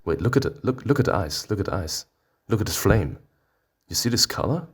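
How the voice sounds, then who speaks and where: Male let's player, deep register, big dramatic exclamations and swearing, music playing behind. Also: very animated, a little fast. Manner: theatrical, exclamatory, swearing reactions. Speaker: streamer playing a visual novel. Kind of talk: let's play commentary and read-aloud.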